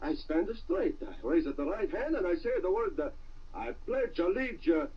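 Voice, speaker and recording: Excitable Italian immigrant, wildly swooping. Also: male voice, on the high side, breathy edge. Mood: excited, earnest. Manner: broken English, halting and excitable. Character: eager, naive male Italian immigrant newcomer. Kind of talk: 1930s-40s radio drama acting. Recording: muffled old radio recording, distant.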